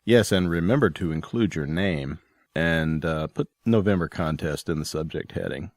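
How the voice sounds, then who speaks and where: A man's voice: sits low, moderate pace, no echo, clean studio sound. A man announcing a listener contest in a promotional podcast segment.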